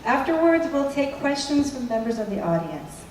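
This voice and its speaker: A woman's voice: high voice, big pitch swings, moderate pace, a little mellow, very echoey, far from the mic, a little hiss or hum, poor audio. A woman hosting an event, opening it for the audience in a formal announcing way. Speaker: event host. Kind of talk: event introduction.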